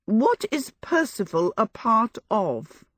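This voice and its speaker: A woman's voice: on the high side, big pitch swings, takes it slowly, a bit bright, no room sound, studio quality, pitched above the performer's own voice, exaggerated voice. A woman voicing a flustered, determined heroine who frets and blames herself. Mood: questioning. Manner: fretful. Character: flustered, self-blaming heroine, quick and determined.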